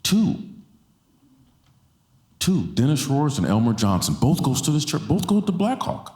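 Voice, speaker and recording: A man's voice: a little high, huge pitch swings, measured pace, a little mellow. A man in his forties speaking with passion to a church audience on stage, holding a handheld mic and repeating lines for stress. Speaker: guest speaker. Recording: roomy church hall sound.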